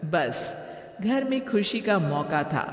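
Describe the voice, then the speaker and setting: Slow-paced woman narrator, echoey. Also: fairly low pitch, big pitch swings. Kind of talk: scripted story narration. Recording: echoey with long reverb.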